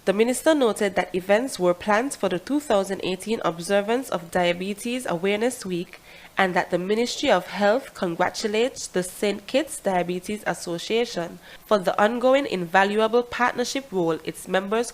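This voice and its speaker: A woman's voice: medium pitch, rough recording. Woman reading a news report.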